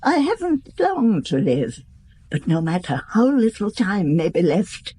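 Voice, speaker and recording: Slow, husky, dignified elderly noblewoman. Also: mid-range voice, sing-song, mellow. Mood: resolute, wistful. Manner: formal, composed, unhurried, frank. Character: gracious, dignified, wistful elderly female aristocrat. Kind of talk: radio drama acting.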